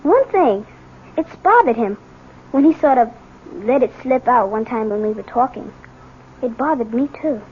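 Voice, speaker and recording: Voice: mid-range voice, lively intonation, normal speed, long pauses, a little hiss or hum, lightly acted. A young performer playing an earnest, loyal American schoolboy, articulate and eager, in a 1970s radio drama. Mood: gently pleading. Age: child or young teen. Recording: muffled 1970s radio recording.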